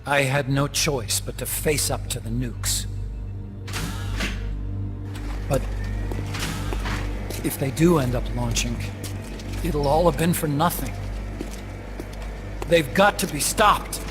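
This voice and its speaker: An actor playing an earnest, bookish scientist in a video game, explaining things a little awkwardly. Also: male voice, mid-range voice, slow, pauses often, natural voice. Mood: regretful, grave. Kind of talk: video game cutscene acting. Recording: music under the voice.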